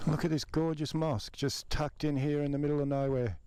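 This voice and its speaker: A man's voice: on the high side, steady tone, brisk, smooth, no room sound. A man in his fifties, congested and sore, chatting casually to viewers about his motorcycle trip. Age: 50s. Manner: chatty, addresses viewers as guys. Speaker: motorcycle travel vlogger. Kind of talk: casual vlog to camera. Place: outdoors by roads on a motorcycle trip. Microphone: action camera. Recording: loud road and traffic noise outdoors.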